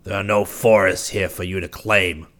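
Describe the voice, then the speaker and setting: A man's voice: deep voice, little pitch movement, unhurried, fairly bright tone. A man voicing a fierce, insolent king of wicked mountain creatures in a storybook, haughty, menacing and defiant. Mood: angry.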